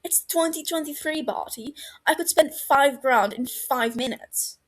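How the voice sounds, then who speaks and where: A woman's voice: high-pitched, a little slow, fairly bright tone, voice pushed up. A woman voicing a cynical, sardonic, exasperated British man in his twenties in an audio story. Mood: exasperated, dry. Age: 20s. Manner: sardonic, sighing, withering. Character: dry, exasperated, cynical young British man, reserved realist. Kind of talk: fan fiction audio story dialogue.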